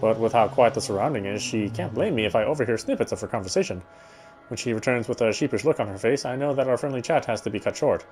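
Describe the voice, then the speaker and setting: A man's voice: mid-range voice, animated, fast talker, darkish tone, faint music behind. A man reading visual novel narration aloud as he plays. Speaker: let's player reading a game aloud.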